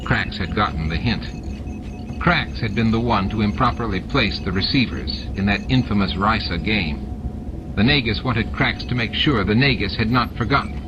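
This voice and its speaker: A man's voice: on the low side, normal speed. A man reading a novel as scripted audiobook narration, with music and sound effects behind him. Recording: dull lo-fi audiobook dub.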